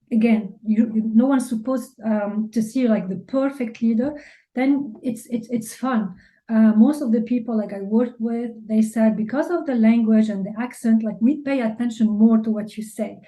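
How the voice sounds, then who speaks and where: A woman's voice: on the high side, normal speed, dark tone, poor audio. A woman from Lebanon with a Lebanese accent, answering interview questions candidly and informally, often saying "like". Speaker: interview guest, business leader and mentor.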